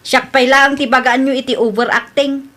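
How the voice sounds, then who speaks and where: A woman with a Filipino Ilocano accent playing an excitable, stubborn expectant young mother in a radio comedy drama, insistent and complaining. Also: high voice, moderate pace. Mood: offended, complaining. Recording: background music under the voice.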